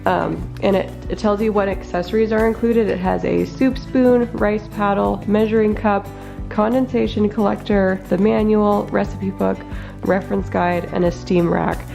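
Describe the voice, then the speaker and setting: Chatty woman with a head cold, gravelly. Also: medium pitch, normal speed, distant mic. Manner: chatty and excitable, narrating as she unpacks. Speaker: home cooking vlogger. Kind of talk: casual unboxing vlog. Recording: echoey room, off-mic, music underneath.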